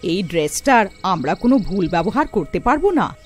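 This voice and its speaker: A woman's voice: mid-range voice, big pitch swings, normal speed, faint music behind, voice pushed down, lightly acted. A woman playing a caring, motherly witch wife in a cartoon story. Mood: fond, approving.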